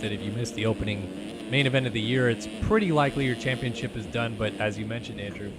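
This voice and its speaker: A man doing play-by-play commentary for an esports race, his voice still recovering after being lost, in an upbeat announcer manner. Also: mid-range voice. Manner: upbeat announcer, reading sponsors and plugs.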